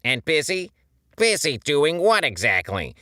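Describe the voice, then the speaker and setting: A man's voice: medium pitch, sing-song, measured pace, sharp tone, clean tone, no room sound, studio quality, pitched above the performer's own voice. A man voicing an irritable, exasperated adult male squid who scolds with indignation in a storybook reading.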